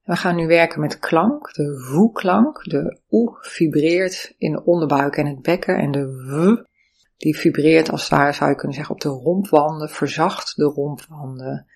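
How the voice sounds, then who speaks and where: Slow, gravelly meditation guide. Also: mid-range voice. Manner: calm, soothing, measured guidance. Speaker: meditation and breathing teacher.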